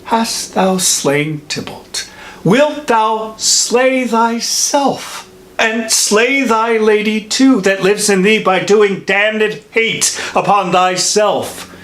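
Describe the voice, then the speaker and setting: Man playing a slow-spoken, theatrical old friar. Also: fairly high pitch, a bit bright. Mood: stern, outraged. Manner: deliberate, measured, theatrical verse delivery. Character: old holy friar, wise, reflective, scolding mentor. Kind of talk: classical Shakespearean stage monologue.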